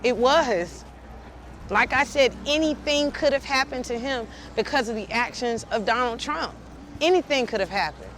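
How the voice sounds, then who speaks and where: A man's voice: very high-pitched, expressive, normal speed, noisy background. Intense man telling his story outdoors. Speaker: protester. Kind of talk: interview testimony. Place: outdoors on a city street.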